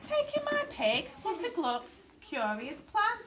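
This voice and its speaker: A woman's voice: very high-pitched, wildly swooping pitch, takes it slowly, fairly bright tone, a little hiss or hum, voice pushed up, full character voice. A woman voicing a grumbling, pleading little old lady in a children's storybook, exclaiming dramatically and plaintively. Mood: incredulous. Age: older. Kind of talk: children's picture-book storytelling.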